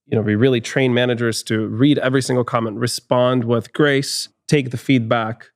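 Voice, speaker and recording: Gravelly-voiced man with a Hungarian accent. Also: medium pitch, steady tone, normal speed, no echo, studio quality. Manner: thoughtful, many restarts and fillers. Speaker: guest, HR executive. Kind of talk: podcast interview. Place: studio.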